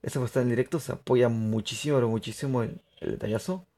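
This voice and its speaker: A young man from Peru, chatting excitably to viewers through live gameplay commentary. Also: medium pitch, fast. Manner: chatty, excitable, laughs often, addresses viewers. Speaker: streamer.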